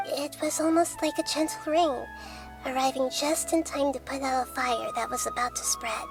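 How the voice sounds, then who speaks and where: A woman voicing a wise, gentle, childlike young goddess who speaks softly and thoughtfully in a game, with music behind her. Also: sits high, normal speed.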